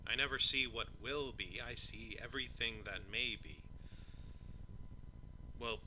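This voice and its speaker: A man voicing a kindly old grandpa who reads a bedtime story haltingly, stumbling over words, with gently teasing manner. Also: medium pitch, rough recording. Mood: storytelling. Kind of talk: slow storybook reading with doddering asides. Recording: steady ceiling fan noise behind. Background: ceiling fan.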